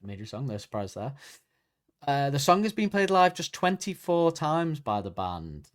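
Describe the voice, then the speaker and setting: A man's voice: a little high, big pitch swings, normal speed. A man co-hosting a podcast, chatting casually and reading facts from notes while correcting himself as he goes. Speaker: podcast co-host.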